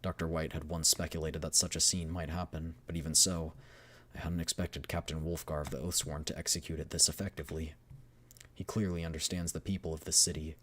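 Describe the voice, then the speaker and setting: A man streaming a dramatic read-aloud of a video game story, with measured, expressive storytelling. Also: a bass voice.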